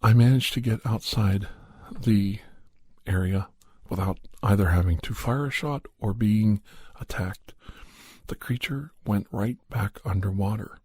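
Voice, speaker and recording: A man narrating a first-person horror story in an ASMR style, hushed and deliberate, often pausing mid-phrase. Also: fairly low pitch, slightly rough, no room sound. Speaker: podcast host and storyteller.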